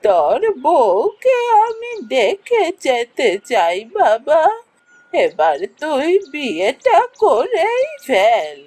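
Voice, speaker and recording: Very slow, swooping old Bengali grandmother. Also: sits high, wildly swooping pitch, darkish tone, exaggerated voice. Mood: pleading. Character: frail old Bengali village grandmother, pleading, nagging. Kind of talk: cartoon voice acting.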